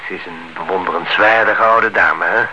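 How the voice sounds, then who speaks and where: Genteel man, very bright voice. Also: sits low. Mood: affable. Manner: courteous, deliberate. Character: polite, genteel married man, quietly discontented neighbour. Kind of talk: radio play acting in Dutch. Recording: muffled old radio recording, faint noise.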